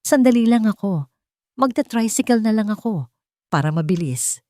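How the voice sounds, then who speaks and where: Woman as hurried, secretive young housemaid. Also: mid-range voice, animated, a little mellow, no room sound, studio quality, pitched above the performer's own voice, close to an everyday voice. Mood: evasive. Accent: Filipino. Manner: hurried, evasive.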